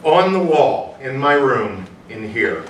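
A man's voice: mid-range voice, very animated, slow pace, a bit bright. An older man playing a troubled, pious recluse in a staged play reading, formal and declamatory in manner. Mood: defensive, agitated. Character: troubled, pious, reclusive suspect, brooding and grandiose. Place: small indoor venue, before a seated audience. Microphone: far camera. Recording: roomy distant stage recording with noise.

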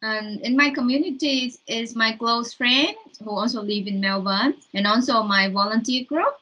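A woman's voice: fairly high pitch, normal speed, sharp tone, highs cut off. A young woman from Vietnam with a Vietnamese accent, chatting casually in an interview over a video call. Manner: chatty, with fillers and non-native grammar. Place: room at home, indoors.

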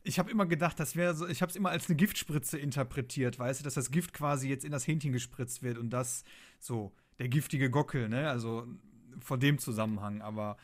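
A man's voice: medium pitch, fairly quick. A man co-hosting a fan podcast, giving his opinions in casual, colloquial talk and often searching for words. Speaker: podcast co-host.

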